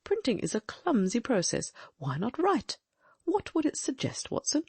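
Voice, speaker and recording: A woman's voice: fairly high pitch, wildly swooping pitch, husky, no room sound, voice pushed up, full character voice. A woman reading the part of a shrewd, inquisitive middle-aged male detective, asking probing questions as she muses aloud in an audiobook. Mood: musing. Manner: probing, musing, quick questions.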